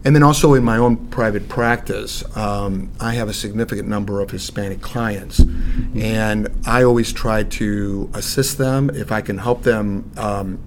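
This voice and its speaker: Low-voiced American man, noisy rumbly recording. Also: fairly even intonation, unhurried. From Saint Louis, United States. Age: middle-aged or older. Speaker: lawyer, interview guest. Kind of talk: explanatory interview answers.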